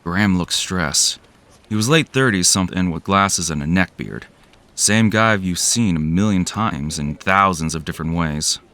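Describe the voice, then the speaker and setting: A man's voice: low-pitched, normal speed, sharp tone. A man playing a jaded, cynical office worker who narrates an audio drama in a weary, sardonic, profane way.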